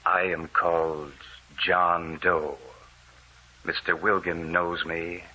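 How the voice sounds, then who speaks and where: An actor playing a hollow, relentless walking dead man, halting and deliberate, in 1940s radio horror style. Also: deep voice, flat delivery, pushed and bright, slightly rough, full character voice, rough recording. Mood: cold, relentless. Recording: faintly hissy old radio recording.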